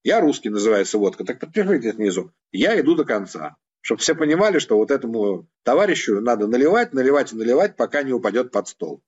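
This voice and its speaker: A man's voice: medium pitch, very animated, quick pace, a little mellow, husky, lo-fi sound. A man from Ukraine, a political analyst, giving mocking, sarcastic commentary.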